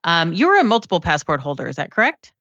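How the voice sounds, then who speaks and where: A woman's voice: lowish voice, wildly swooping pitch, fast, bright, forceful tone, no room sound, muffled recording. A woman hosting a podcast, talking casually and hesitantly with many fillers. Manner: chatty, hesitant, with "um" and "you know" fillers. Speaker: podcast host.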